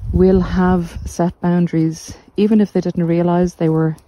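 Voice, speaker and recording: A middle-aged woman giving reflective, informal podcast advice in a measured, deliberate way with pauses. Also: on the low side, flat delivery, mellow, a little hiss or hum. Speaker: podcast host and narcissistic abuse recovery coach. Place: walk outdoors along the coast.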